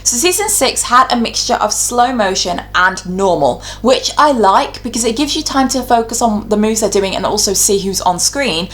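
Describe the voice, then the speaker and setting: A woman's voice: a little high, expressive, moderate pace, hardly any pauses, fairly bright tone. A woman talking casually and informally as a video host, giving her opinions in a chatty, conversational way. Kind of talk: casual opinionated ranking commentary.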